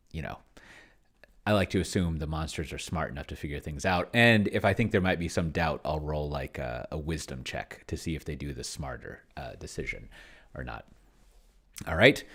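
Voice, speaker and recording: Guy with a really low voice, kinda musing to himself while he plays a game, trails off a lot. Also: fairly bright tone. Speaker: solo tabletop game player and demonstrator. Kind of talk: casual think-aloud game commentary.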